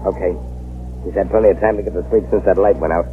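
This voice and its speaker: A man's voice: low voice, steady tone, fast, faint music behind, lightly acted. Man playing a ruthless gangster boss. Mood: scheming. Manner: gruff, bossy, menacing. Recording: muffled scratchy old radio broadcast with faint hiss.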